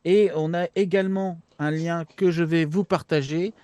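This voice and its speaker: A man's voice: a little high, fairly even intonation, moderate pace, darkish tone, clean tone, no room sound. A man talking casually to viewers and fellow panelists as a regular of a show. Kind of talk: casual talk to viewers and co-hosts.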